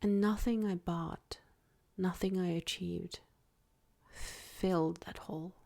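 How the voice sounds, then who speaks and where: A woman guiding a soft-spoken ASMR meditation in a breathy, near-whispered voice, with gentle, deliberate phrasing. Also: on the low side, steady tone. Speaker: ASMR creator and meditation guide.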